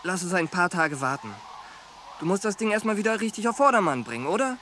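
Brash, blunt teenage pal, highish lively voice that jumps around, worried but down-to-earth, radio drama. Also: male voice, fast, a little hiss or hum, full character voice. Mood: worried, teasing. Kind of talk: German radio drama acting.

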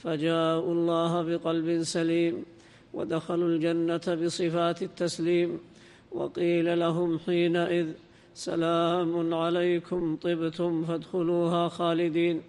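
Male student reader, higher-pitched and very monotone, smooth, formally reciting classical text in long reverb. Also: a little slow. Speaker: student reader in a religious lesson. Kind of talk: reading classical text aloud, semi-chanted.

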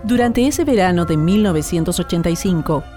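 A woman's voice: fairly low pitch, lively intonation, fairly quick, mellow. Chilean woman narrating over music. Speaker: narrator and presenter. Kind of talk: scripted narration.

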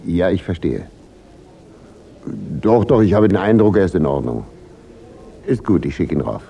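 A man acting a gruff, wary hotel detective with a gravelly voice in a German radio crime drama. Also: sits low, sing-song, normal speed, dark tone, full character voice. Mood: businesslike. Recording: slightly noisy radio drama recording.

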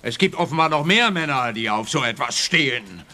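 An actor playing an officious, needling police officer in a radio play, speaking emphatically with a Swiss Standard German accent. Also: male voice, mid-range voice, expressive, a little fast, bright, forceful tone. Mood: mocking.

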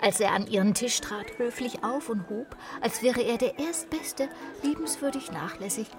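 A woman's voice: a little high, normal speed, close to an everyday voice. A woman giving scripted narration of a literary story in a radio play. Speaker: narrator and actress. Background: music.

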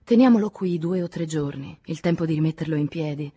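A woman's voice: deep voice, brisk, warm tone, husky. A woman voicing a pragmatic, weary adult woman in an audiobook, firm and brusque as she reasons. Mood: firm, reasoning. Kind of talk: dramatic audiobook character acting.

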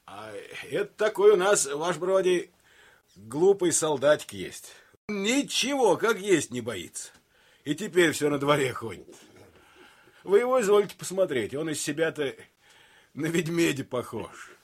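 Актёр в радиоспектакле играет словоохотливого солдата с простонародной речью и хрипловатым голосом, почтительного к офицеру. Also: male voice, on the high side, big pitch swings, unhurried, husky, heavily acted. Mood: folksy, amused. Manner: rustic colloquial speech. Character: talkative, folksy soldier deferential to his officer. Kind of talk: radio drama acting.

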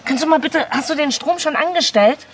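A woman's voice: high-pitched, a little fast, natural voice. An actress playing an anxious, sarcastic mother in a naturalistic radio drama, biting and insistent. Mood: agitated, urgent. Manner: biting, insistent, repeating herself. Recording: faint background ambience noise.

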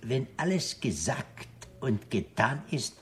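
An actor playing a self-important restaurant owner in a radio drama, florid and ceremonious, with an unctuous, sinister charm. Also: male voice, medium pitch, big pitch swings, takes it slowly. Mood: mock solemn, sinister. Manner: florid, ceremonious, repeats polite phrases. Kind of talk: German radio drama acting.

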